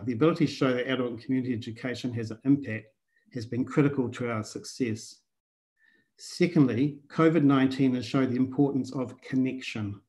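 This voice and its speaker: An older man with a New Zealand accent, delivering measured prepared conference remarks over a video call from a small room. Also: medium pitch, a little mellow. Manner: measured, read from notes. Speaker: conference speaker.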